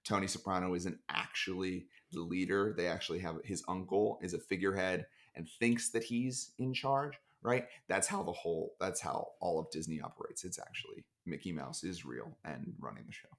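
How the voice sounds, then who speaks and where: A man as a podcast guest in casual chat, rambling with dark deadpan jokes over a video call. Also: low voice, normal speed, hardly any pauses.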